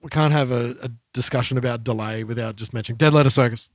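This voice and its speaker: A man's voice: medium pitch, fast talker, dry recording. Casual man on a dry mic. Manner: conversational, informal. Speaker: music podcast co-host. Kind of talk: casual music chat.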